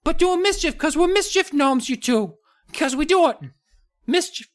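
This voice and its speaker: A woman's voice: sits high, expressive, moderate pace, voice pushed up. A woman voicing a male mischief gnome who boasts in a sing-song way and keeps repeating himself. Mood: boastful.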